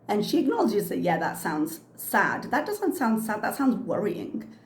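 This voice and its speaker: Chatty commentary host in an echoey room. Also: female voice, mid-range voice, wildly swooping pitch, measured pace. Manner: chatty, frank, with frequent ums and uhs. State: slightly losing her voice from a cough. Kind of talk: casual reaction commentary. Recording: echoey room with faint ambience.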